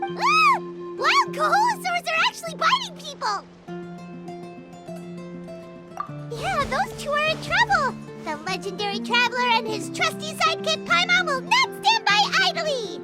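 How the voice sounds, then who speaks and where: A woman voicing a tiny, excitable, cheeky fairy sidekick with a squeaky childlike voice in an anime game. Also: moderate pace. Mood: alarmed, bold. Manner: refers to self in third person, exclamatory. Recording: loud game music behind. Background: game music.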